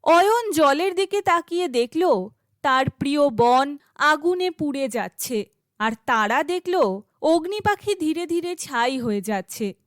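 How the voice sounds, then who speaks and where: Casting: female storybook narrator, high pitch, slow scripted fairytale delivery. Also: lively intonation, no echo.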